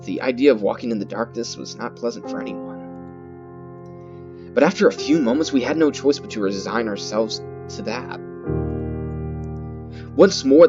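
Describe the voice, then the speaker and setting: A man reading horror game text aloud in a theatrical, halting way, repeating words and adding his own comments. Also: mid-range voice. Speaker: gaming video host. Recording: dull lo-fi sound with loud music. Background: loud guitar music.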